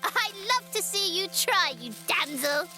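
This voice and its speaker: A woman's voice: very high-pitched, animated, measured pace, pushed and bright, faint music behind, heavily acted. A woman playing a fearless, optimistic seven-year-old girl in an audio drama, cheeky and matter-of-fact. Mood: playful, teasing.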